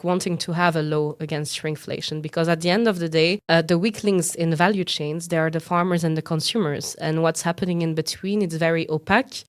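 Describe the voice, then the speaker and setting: A woman's voice: deep voice, smooth. A young adult woman from France answering interview questions thoughtfully, with frequent fillers and restarts. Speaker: guest from a young farmers' organization.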